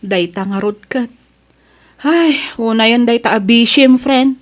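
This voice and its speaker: High-voiced, indignant girlfriend played by a woman. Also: a little slow, lightly acted. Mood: concerned, indignant. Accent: Ilocano. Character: concerned young female friend, indignant, confiding. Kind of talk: Ilocano comedy radio drama acting.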